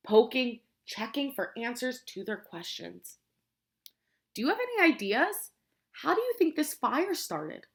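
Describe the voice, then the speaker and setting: A woman teacher reading a picture book aloud to young children, explaining simply and prompting them with questions in an expressive way. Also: on the high side. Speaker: preschool teacher.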